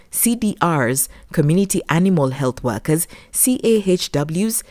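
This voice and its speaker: A woman narrates a documentary from a formal script. Her low voice is mellow and moves with lively intonation. She keeps a measured delivery. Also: dry recording, studio quality. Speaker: documentary narrator, voice-over artist.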